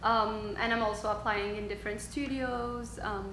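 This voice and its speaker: A woman's voice: mid-range voice, fairly even intonation, normal speed, a bit bright. Woman, roomy echo. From Switzerland. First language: French. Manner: hesitant, many ums, frequent laughs. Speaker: guest, yoga instructor. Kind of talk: casual interview. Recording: roomy echo with faint background noise.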